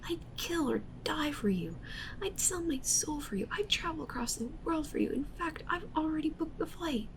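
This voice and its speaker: Woman acting a half-whispering, creaky, obsessive yandere streamer. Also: high-pitched, expressive, moderate pace, noisy background. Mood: obsessive, feverish. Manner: breathy half-whispered delivery, intimate and intense. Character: obsessive yandere witch streamer woman, clingy, intense, unhinged. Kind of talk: roleplay ASMR, intimate monologue to the listener.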